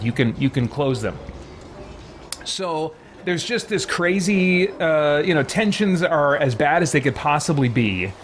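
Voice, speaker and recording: Casual man streaming over background noise. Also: a little high. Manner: informal, digressive, explanatory. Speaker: game livestreamer. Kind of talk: casual rambling recap to a live chat.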